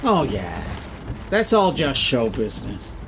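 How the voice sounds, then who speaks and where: A man's voice: mid-range voice, wildly swooping pitch, takes it slowly, noisy background, exaggerated voice. A man playing a daft, literal-minded brother in a comic audio drama, speaking in a naive, earnest manner.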